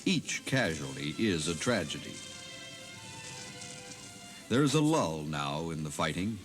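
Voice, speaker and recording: A man's voice: very deep voice, very animated, slow. A man narrating history from a script in a dramatic documentary style. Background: music.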